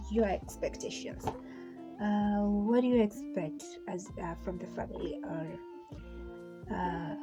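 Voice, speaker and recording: A young woman with a Kenyan accent, whose first language is Swahili, giving casual advice in a chatty, hesitant way with many fillers and restarts. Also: medium pitch. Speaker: vlogger. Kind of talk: casual how-to vlog. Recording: music playing underneath.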